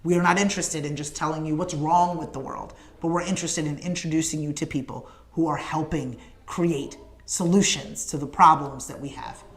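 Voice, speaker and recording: A woman's voice: deep voice, fairly even intonation, normal speed, few pauses. A woman hosting a morning livestream show, making an earnest, emphatic appeal to viewers in a repetitive rallying cadence. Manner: addresses viewers in comments by name. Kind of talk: direct-to-camera livestream appeal.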